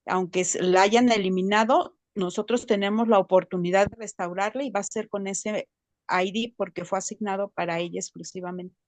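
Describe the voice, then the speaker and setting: A woman's voice: medium pitch, steady tone, moderate pace, no room sound. Woman explaining things in an online class. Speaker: class participant.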